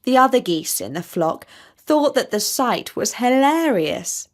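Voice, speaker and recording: A woman reads a scripted story for children. Her voice sits a little high, and she takes her time, setting out each phrase slowly and deliberately. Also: very animated.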